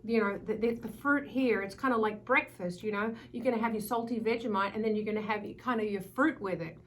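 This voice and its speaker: A woman's voice: medium pitch, a little fast, rough recording. A middle-aged Australian woman, a wine importer, explaining things in a friendly casual chat with plenty of fillers.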